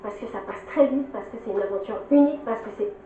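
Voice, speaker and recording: A woman's voice: on the high side, quick pace, slight background noise. A woman giving earnest advice to new reality show contestants, with some hesitation. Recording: echoey, distant, phone-like.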